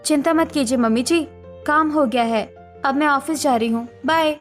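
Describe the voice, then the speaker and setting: Supportive, assertive young daughter-in-law female actor, high-pitched, animated family drama, music bed. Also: normal speed, natural voice. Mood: polite. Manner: earnest, firm.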